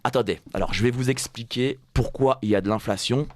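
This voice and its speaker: Dry-voiced sarcastic French radio columnist. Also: male voice, medium pitch, a little fast, no room sound. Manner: sarcastic, mock-solemn, laughing at his own jokes. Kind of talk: satirical radio commentary. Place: radio studio.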